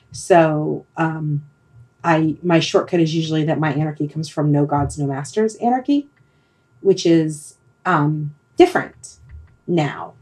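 A woman's voice: sits low, darkish tone, poor audio. A middle-aged woman hosting a casual, reflective conversation, hesitant and rambling as she thinks aloud. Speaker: podcast host leading a discussion.

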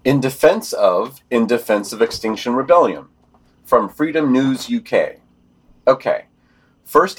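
A man's voice: lowish voice, husky. A man co-hosting a podcast, reading scripted news commentary and quotations with informal, sardonic asides. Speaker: podcast co-host.

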